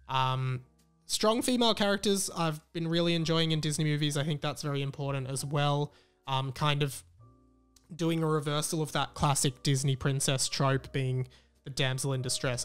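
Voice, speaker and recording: A man's voice: fairly high pitch, fairly bright tone, clear, resonant tone. A man hosting a podcast, talking casually and off the cuff, thinking aloud in a hesitant, conversational way as he gives his opinions. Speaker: podcast host giving a film review.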